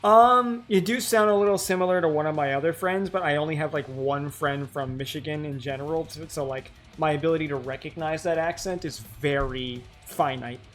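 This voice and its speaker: A man's voice: a little high, fairly quick, hardly any pauses, fairly bright tone, faint music behind. A man chatting as a stream guest, laid-back and hesitant, often stammering and restarting. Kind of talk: casual stream chat.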